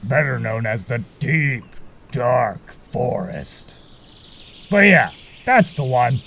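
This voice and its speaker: Man playing a gravelly, easygoing guide. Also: mid-range voice, expressive, slow pace, fairly bright tone, heavily acted. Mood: hesitant. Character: friendly, laid-back male guide helping travellers. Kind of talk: amateur audio drama acting.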